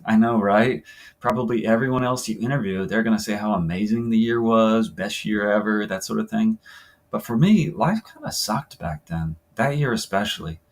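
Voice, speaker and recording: Man as female soccer player, husky, weary, frank, downbeat, conversational novel monologue. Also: fairly low pitch, animated, normal speed. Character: woman soccer player wearily recalling a crappy teenage life. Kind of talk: reading an oral-history novel as interview monologue.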